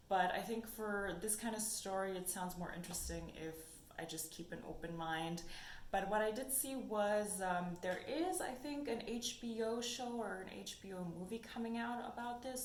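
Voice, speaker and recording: A young woman whose first language is German, chatting casually about books in a haul video and thinking aloud as she goes. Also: medium pitch. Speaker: book vlogger. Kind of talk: casual book haul chat. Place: sunny room indoors. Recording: echoey room with faint noise.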